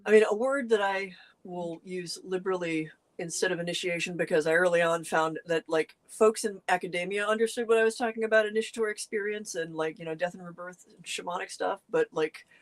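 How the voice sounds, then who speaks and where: A middle-aged co-host chatting casually, telling stories earnestly with lively jumps in pitch and frequent restarts. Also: brisk, hardly any pauses. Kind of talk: casual conversational chat.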